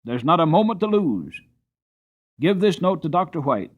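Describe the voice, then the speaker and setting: A man's voice: on the high side, very animated, moderate pace, phone-quality sound, pitched above the performer's own voice. A man voicing a country squire who gives urgent, commanding orders.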